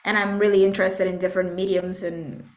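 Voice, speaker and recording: A woman from Puerto Rico speaking as an interview guest, reflective and thoughtful, often pausing to search for words. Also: on the low side, little pitch movement, darkish tone. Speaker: interview guest, composer and musician. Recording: echoey room sound.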